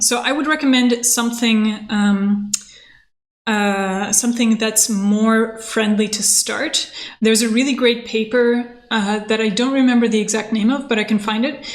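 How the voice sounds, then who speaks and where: A woman, explaining technical things casually and haltingly on a livestream. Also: fairly high pitch. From born in Moscow, grew up in Canada. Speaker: software engineer, livestream host.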